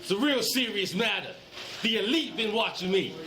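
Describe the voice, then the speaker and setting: A man's voice: sits high, expressive, normal speed. An agitated, distressed man recorded on echoey, noisy body camera audio in a hard-walled room. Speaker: detainee.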